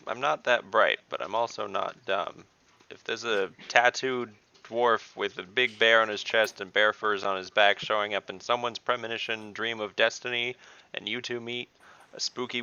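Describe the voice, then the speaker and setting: Male tabletop player, bright forceful edge, wry casual delivery, slightly muffled noisy mic. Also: on the low side, gravelly. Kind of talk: casual online game chat. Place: online group voice call.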